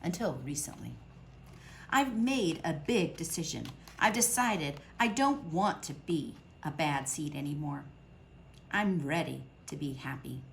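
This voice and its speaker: Slow, gravelly woman reading a storybook. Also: deep voice, slight background noise. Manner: expressive, with dramatic pauses and voiced characters. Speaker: children's book reader. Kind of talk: storytime read-aloud.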